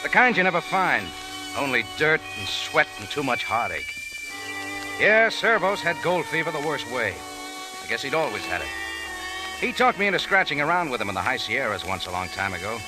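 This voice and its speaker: An actor playing a wry, world-weary American cafe owner narrating a 1940s radio adventure in a hard-boiled style. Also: male voice, mid-range voice, big pitch swings, moderate pace, music in the background, natural voice, poor audio. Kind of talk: 1940s radio acting, first-person narration.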